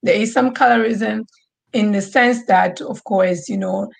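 A woman's voice: medium pitch, measured pace, creaky. Woman from Tanzania on a panel. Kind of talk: panel discussion.

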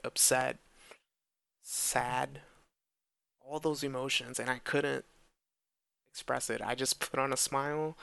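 A young man speaking slowly and earnestly in a personal monologue, with stuttered repeats and blocks. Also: medium pitch, creaky, bright, forceful tone, clear, resonant tone, no room sound. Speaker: podcast host who stutters.

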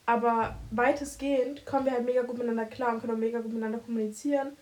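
Rambling young woman, high voice. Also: steady tone, very fast, a little mellow. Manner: rambling, searches for words, many fillers. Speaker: podcast co-host. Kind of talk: casual chat.

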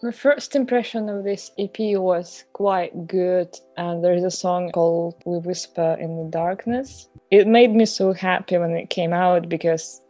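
Mellow, low-voiced woman chatting about music. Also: steady tone, unhurried, few pauses, no room sound. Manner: chatty, personal, laughs easily. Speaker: podcast co-host. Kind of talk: casual chat about music.

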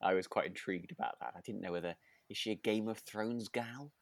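A man co-hosting a podcast in casual conversation, phrasing things in a gentle, tentative way. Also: fairly low pitch, fairly quick, no echo. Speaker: podcast host; actor. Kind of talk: relaxed casual chat about a radio drama.

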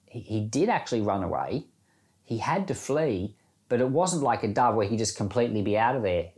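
A man's voice: lowish voice, darkish tone. Conversational male pastor, reflective and plain-spoken. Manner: informal, reflective, plain-spoken, explaining with everyday examples. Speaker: pastor giving Bible podcast commentary and prayer. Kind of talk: conversational devotional sermon.

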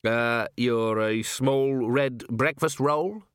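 A man's voice: medium pitch, big pitch swings, moderate pace, a bit bright, dry recording. A man voicing a dry, somewhat awkward city watch commander in an audiobook. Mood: baffled. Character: dry, blunt middle-aged male city watch commander.

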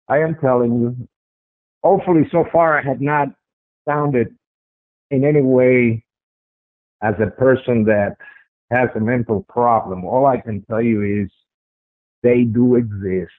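A man's voice: medium pitch, measured pace, long pauses, dry recording. A man with a strong voice giving a first-person account in an interview, speaking conversationally and repeating phrases for emphasis. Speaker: interview guest recounting a personal sighting. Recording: tinny phone-like radio line.

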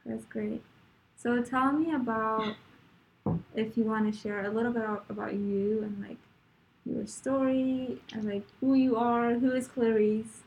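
Young woman podcast host, somewhat high voice, slow, encouraging. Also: fairly even intonation, a little mellow, poor audio. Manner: encouraging, frequent mm-hmm backchannels. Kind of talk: casual interview.

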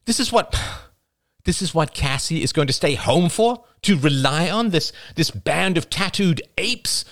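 A man's voice: high voice, lively intonation, measured pace, darkish tone, husky, no room sound, clean studio sound, voice pushed up, exaggerated voice. A man voicing an anxious but defiant young woman reporter in an audiobook, pleading and protesting. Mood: indignant, defiant.

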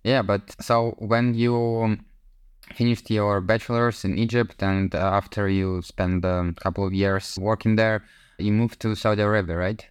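A man co-hosting a casual interview podcast, welcoming the guest and asking questions in a relaxed, friendly way. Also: fairly low pitch, unhurried, smooth, no room sound, studio quality. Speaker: podcast co-host.

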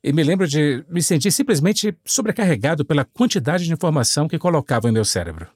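A man who is a missionary guest, recalling his experiences in a rapid, recollecting way. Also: on the high side, animated, a little mellow, husky, dry recording, clean studio sound. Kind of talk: personal storytelling.

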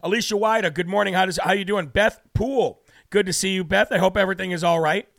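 A man's voice: sits high, fast talker, creaky, a bit bright, dry recording, studio quality. A man from New Hampshire hosting a live political talk show, brash and preachy, losing his voice as he reads out viewer comments.

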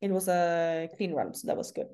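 A young woman from northern Norway talks in English with a Norwegian accent, a relaxed interview guest who laughs easily. Her voice sits low and sounds warm and mellow. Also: normal speed. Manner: candid, laughs often. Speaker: CrossFit athlete guest. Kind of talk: casual interview.

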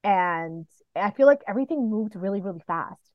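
A woman from New York City and the Dominican Republic chatting casually as an interview guest, telling stories in an animated, playful way. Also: mid-range voice, moderate pace, dry recording, highs cut off. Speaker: podcast guest, professional wrestler.

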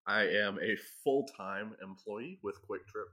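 Deep, bright-toned young man. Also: unhurried. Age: young adult. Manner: teasing and joking. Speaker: podcast co-host. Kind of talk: casual chat.

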